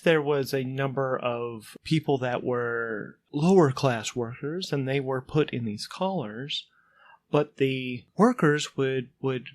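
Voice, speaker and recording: A man's voice: mid-range voice, natural voice. A man voicing a polite, fretful passenger in a tabletop story, hesitant and wordy, with formal phrasing. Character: Ulan, polite, fretful male passenger explaining awkward matters.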